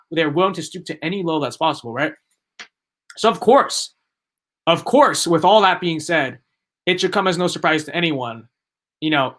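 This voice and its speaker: A young man running live-stream commentary in a slightly high voice. He talks in rambling, emphatic bursts, circling back over the same points. His voice is starting to wear thin and hoarse. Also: fairly bright tone. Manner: rambling, emphatic, repeats himself. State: losing his voice. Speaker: livestream political commentator.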